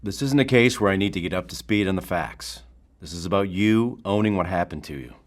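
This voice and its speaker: An actor playing a calm, probing bureau therapist in an audio drama, speaking in a formal, deliberate way. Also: male voice, low-pitched, close to an everyday voice.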